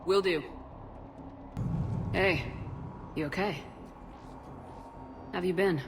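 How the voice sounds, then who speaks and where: A woman's voice: fairly low pitch, drawn-out words, background noise. A woman playing a resolute, battle-hardened military commander in a video game, direct and controlled in her delivery. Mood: firm. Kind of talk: dramatic video game acting.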